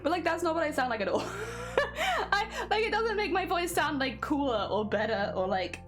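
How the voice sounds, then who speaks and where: A woman's voice: extremely high pitch, big pitch swings, moderate pace, over music. A young woman chatting casually on a craft stream while sewing, rambling with lots of fillers and a slight winter cough.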